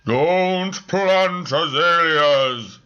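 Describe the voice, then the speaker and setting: A man voicing a woeful, theatrical male ghost in a comic story, with booming, drawn-out wails. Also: sharp tone, clear, resonant tone, muffled recording, exaggerated voice.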